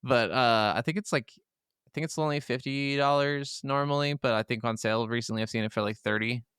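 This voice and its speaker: A man's voice: mid-range voice, brisk, a bit bright, smooth, dry recording, clean studio sound. A man co-hosting a gaming podcast, talking casually with emphatic, opinionated, profane delivery. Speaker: podcast co-host.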